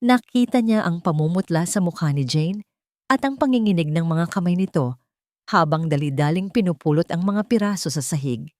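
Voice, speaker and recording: Dry, low-voiced female storyteller. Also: animated, darkish tone, dry recording. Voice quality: emotive, measured storytelling. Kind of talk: dramatic narration of a love story.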